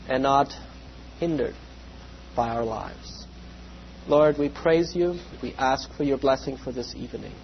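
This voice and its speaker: A man with a slightly raspy voice giving an evening Bible lesson, measured and full of "uh" fillers, with a reverent tone when he prays. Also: medium pitch. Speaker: Bible teacher. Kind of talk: evening Bible lecture with prayer. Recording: dull lo-fi with faint hum.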